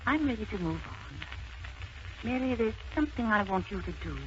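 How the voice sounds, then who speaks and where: An actress in a 1940s radio drama playing a frail, dying elderly mother, kindly and quietly determined, with a weary, tender delivery. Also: fairly high pitch, animated, a little fast, lightly acted. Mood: resigned. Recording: muffled, noisy 1940s radio recording.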